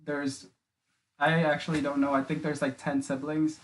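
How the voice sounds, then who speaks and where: A young man from the Philippines talks in a small home podcast studio, close on a boom mic. His voice sits a little high and stays flat. He wanders through his points with a lot of filler words. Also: normal speed, slight background noise. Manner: rambling, many filler likes and restarts. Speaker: podcast guest. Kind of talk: casual interview chat. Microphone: studio mic on boom arm, wearing headphones.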